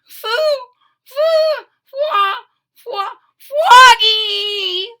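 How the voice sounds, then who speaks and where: A woman voicing a baby girl frog from a storybook, crying out in loud, drawn-out wails. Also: very high-pitched, expressive, voice pushed up, exaggerated voice. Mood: wailing.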